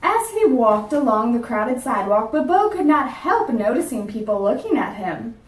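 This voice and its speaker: A woman teacher with a fairly high voice reads a picture book aloud, clear and measured. The room sounds echoey, and she is some distance from the mic. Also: very animated.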